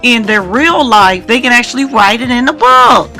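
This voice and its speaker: A woman's voice: on the high side, animated, normal speed, pushed and bright, faint music behind. A woman hosting a radio interview in an informal, chatty, colloquial way. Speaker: radio show host.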